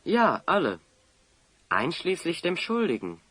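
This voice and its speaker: Bright-voiced, wise adventurer hero. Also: male voice, on the high side, wildly swooping pitch, a little slow, husky, no room sound, full character voice. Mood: grave, explaining. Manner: assured, articulate, courteous. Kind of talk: German radio play acting.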